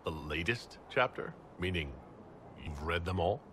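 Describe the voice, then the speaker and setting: Very low-voiced, wry commanding game hero. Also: male voice, animated, unhurried, a bit bright. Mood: teasing. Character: calm, wry male military-religious leader of an inquisition. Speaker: player character in a fantasy video game. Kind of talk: cinematic game dialogue acting. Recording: background noise and ambience.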